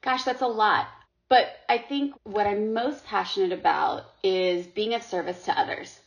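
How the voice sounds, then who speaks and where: A woman's voice: mid-range voice, moderate pace, gravelly. A middle-aged woman from Sri Lanka, talking earnestly as a podcast guest and often repeating words as she goes. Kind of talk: casual interview talk. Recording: dull lo-fi audio.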